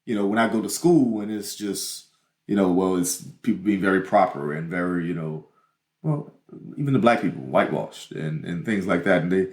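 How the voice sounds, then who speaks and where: A young man with a New Orleans accent and a fairly low voice talks in a casual podcast chat. He stops and restarts often and leans on fillers. The sound carries a roomy echo. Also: lively intonation, a little mellow. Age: young adult. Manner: halting, self-interrupting, many fillers. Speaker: podcast co-host.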